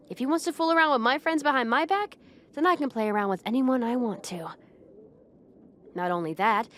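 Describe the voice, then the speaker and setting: A haughty, popular high school girl played by a young woman with a fairly high voice. She is proud and bossy, with flustered hesitations slipping through. It is an intimate roleplay audio drama spoken to the listener, with noise behind the voice. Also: lightly acted.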